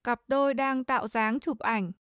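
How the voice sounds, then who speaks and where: A woman reads short translated lines in a plain, even way. Her voice sits slightly high. The sound is dry and close to the mic. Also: moderate pace, smooth, no room sound. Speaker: translation reader. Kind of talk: reading Vietnamese translations.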